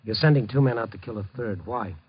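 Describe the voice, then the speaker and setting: An actor playing an earnest, hard-boiled newspaper reporter, urgent and moralizing, in a 1950s radio drama. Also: male voice, on the low side, quick pace, breathy edge. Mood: probing. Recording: dull vintage radio broadcast recording.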